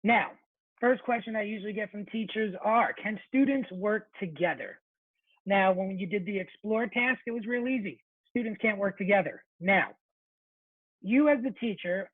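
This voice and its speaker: A man presenting an informal webinar for teachers, chatty and relaxed, often correcting himself mid-sentence. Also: high-pitched, no echo. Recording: thin phone-like webinar audio, dry.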